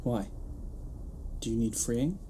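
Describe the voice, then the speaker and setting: A man's voice: medium pitch, expressive, slow, warm tone, a little hiss or hum. A man playing a wry, weary wandering time traveller in an audio drama, flippant and dryly humorous. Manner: flippant, dry humour.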